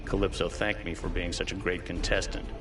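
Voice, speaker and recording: A man's voice: sits low, flat delivery, brisk, natural voice. An actor playing a grim undercover agent, brooding as he recalls his fate in a video game cutscene. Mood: bleak, dawning memory. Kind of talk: dramatic game cutscene monologue. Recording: game audio with music behind. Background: music.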